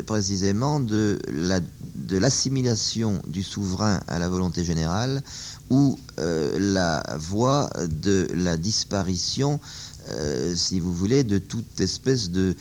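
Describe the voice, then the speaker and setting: A man answering questions on political philosophy, slow and hesitant, often restarting his sentences. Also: low voice, darkish tone, a little hiss or hum. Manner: hesitant, halting, many fillers and restarts. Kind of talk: spoken philosophical discussion.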